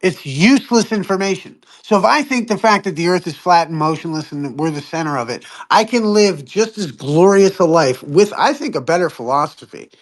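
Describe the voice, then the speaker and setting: A man's voice: sits high, expressive, a little fast, few pauses, rough recording. A man debating casually on an online call, rambling, swearing and poking fun at himself. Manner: rambling, profane, self-deprecating, laughs often. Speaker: flat-earth debater. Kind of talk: heated online debate chat.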